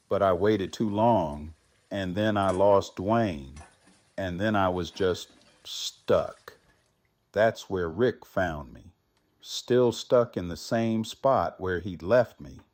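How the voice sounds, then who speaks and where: Actor as slow-spoken, low-voiced grieving survivor. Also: male voice, a little mellow, no room sound. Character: grieving, reflective widowed survivor man, haunted but healing. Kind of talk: fan audio drama acting.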